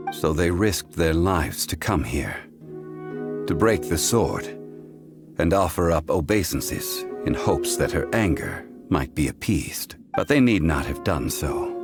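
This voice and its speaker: An actor voicing a calm, wise ancient god who speaks with formal, measured storytelling in a game cutscene, with game music behind. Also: male voice, low voice, heavily acted. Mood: solemn. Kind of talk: video game cutscene dialogue.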